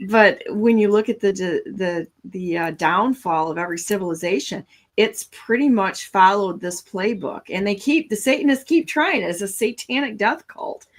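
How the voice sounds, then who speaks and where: A woman's voice: medium pitch, moderate pace. An American woman talk show host giving conversational political commentary in an opinionated, emphatic way. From United States.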